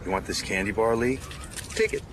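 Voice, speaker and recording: An actor playing a big, patient police sergeant in a radio drama, soft-spoken and reassuring. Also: male voice, on the low side, a little fast, lightly acted. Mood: gentle. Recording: noisy ambient background.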